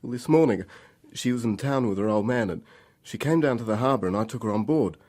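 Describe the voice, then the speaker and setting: An actor playing a shaken young man in a radio drama, speaking in halting, urgent, pleading bursts. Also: mid-range voice, a little mellow, natural voice. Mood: agitated, confessional. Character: agitated, shaken young man confessing to trouble.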